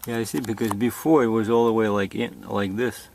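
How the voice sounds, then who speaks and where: A man with a fairly low, creaky voice talks through a hands-on demonstration. His delivery is informal and halting, working things out aloud as he goes. The amateur recording has clear background noise. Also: steady tone. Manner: halting, improvised, thinking aloud. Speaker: demonstrator giving setup instructions.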